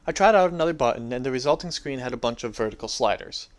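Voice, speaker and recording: A man's voice: mid-range voice, expressive, fast talker. A young adult man giving a dramatic reading of his own first-person story.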